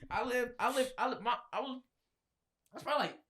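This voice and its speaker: A man's voice: extremely high pitch, animated, brisk, bright, forceful tone. A man chatting casually as an inquisitive podcast host, often repeating phrases as he goes. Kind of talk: casual chat.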